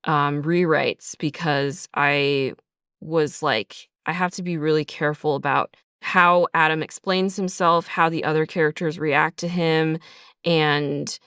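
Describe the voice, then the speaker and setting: A woman's voice: low voice, fairly even intonation, unhurried, a bit bright, clean tone, no room sound, clean studio sound. A woman guest speaking casually and reflectively as a writer in an interview, thinking aloud as she goes. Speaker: writer and creator of an audio drama, guest. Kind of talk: casual behind-the-scenes interview.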